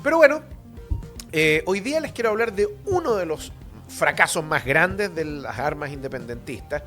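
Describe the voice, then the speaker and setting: High-pitched, blunt Chilean man on radio. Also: animated, moderate pace, fairly bright tone, faint music behind. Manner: opinionated, blunt, colloquial, with rhetorical questions and tag questions. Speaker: radio history show host, academic. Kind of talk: informal history lecture on radio.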